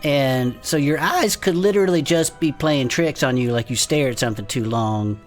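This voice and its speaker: A woman's voice: a bass voice, moderate pace, faint music behind. A woman playing a laid-back, goofy, easily amused club buddy who co-hosts a comedy fiction podcast. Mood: bemused, amused. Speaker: podcast co-host. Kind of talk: comedic improvised in-character banter.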